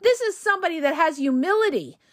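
A woman relationship coach, hoarse from a cold, giving direct, emphatic advice in a casual podcast monologue and often checking in with the listener. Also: very high voice, animated, quick pace, no echo, studio quality.

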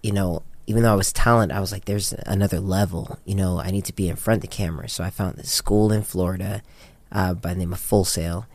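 A man's voice: deep voice, fairly even intonation, quick pace, darkish tone, no echo. An American man speaking casually and candidly as an interviewed director, telling anecdotes with plenty of slang. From Japan. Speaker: interviewed music video director and photographer.

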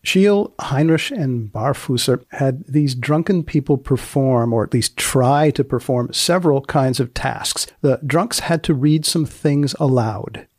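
A man hosting a podcast, delivering scripted introductions and questions in a measured, deadpan way. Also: medium pitch, no echo, studio quality. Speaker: podcast host.

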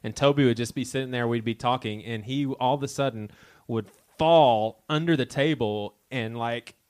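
A man's voice: medium pitch, normal speed, darkish tone, clear, resonant tone. A man on a live podcast telling an old college story in a casual way and acting out what people said. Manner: storytelling, acts out quoted lines.

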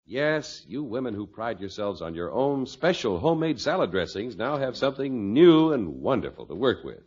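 Very animated vintage radio announcer, a man. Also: mid-range voice, moderate pace, slightly rough. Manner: emphatic, selling. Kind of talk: vintage radio advertising pitch. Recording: muffled vintage radio recording.

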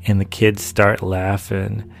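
A man's voice: lowish voice, monotone, slow, gravelly. A man from North Carolina, a painter chatting casually to camera in a rambling, amused storytelling way. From North Carolina, USA. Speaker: painter and video maker. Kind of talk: casual talking-head chat.

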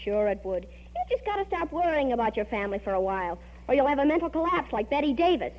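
An actress playing a movie-mad teenage best friend in an old radio comedy, gushing and knowing, with emphatic delivery. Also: female voice, high-pitched, big pitch swings, fast. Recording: muffled old radio recording, hum.

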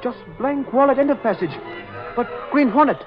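A high pitched earnest sidekick, broken english, old radio serial with music behind. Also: male voice, moderate pace, highs cut off. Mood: anxious, urgent. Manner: clipped broken English, dropping small words. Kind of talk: 1940s radio acting.

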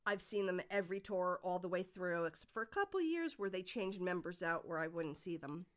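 A woman's voice: on the low side, expressive, a little fast, fairly bright tone. Middle-aged woman, podcast guest, chatty rambling storyteller. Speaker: guest, musician's mother. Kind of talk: casual podcast chat.

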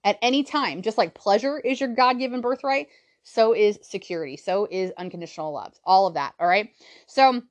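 A woman's voice: mid-range voice, normal speed. A woman in her thirties hosting a solo personal-development podcast, chatty and blunt, often checking in with her listeners. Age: 30s. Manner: chatty, keeps checking in with "right?" and "all right?"; blunt and a little profane. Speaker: podcast host.

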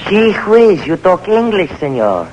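An actor playing an excitable, wary Cuban scout in an old radio drama, with a Cuban Spanish accent and exclamatory broken English. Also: male voice, sits high, measured pace, highs cut off, faint music behind. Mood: wary, curious. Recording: noisy, humming vintage radio recording.

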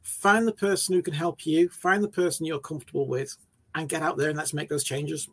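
A man's voice: on the high side, steady tone, brisk. A man on a panel giving encouraging closing advice. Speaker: panel guest.

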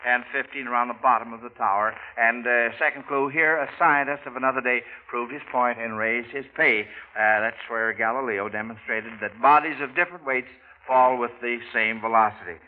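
A man's voice: medium pitch, steady tone, moderate pace. An American man hosting an old live radio quiz, chatty and a little hesitant, repeating answers back. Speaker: quiz show host. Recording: dull, noisy vintage radio recording.

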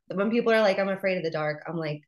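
A woman podcast host chatting casually and frankly, laughing often and swearing now and then. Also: lowish voice.

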